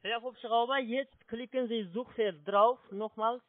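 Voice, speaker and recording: Very high-voiced foreign-accented man on phone. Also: steady tone, measured pace, dry recording. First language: not German. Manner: insistent, repetitive. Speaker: call centre phone scammer. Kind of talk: phone instructions, spelling out letters. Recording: tinny phone line.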